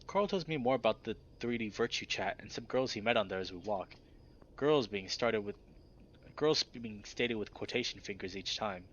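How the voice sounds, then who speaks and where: A man's voice: lowish voice, lively intonation, normal speed, a bit bright, dull audio, a little hiss or hum. A man reading first-person visual novel narration in a dramatic, scripted storytelling style.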